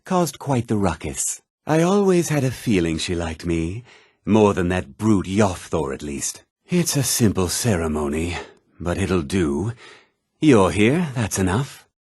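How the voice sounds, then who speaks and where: A man's voice: deep voice, very animated, unhurried, a little mellow, slightly rough, no echo, clean studio sound, heavily acted. Haughty townsman with wildly swinging intonation. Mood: smug. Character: haughty, self-important townsman in a fantasy world. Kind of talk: scripted fantasy video game character dialogue.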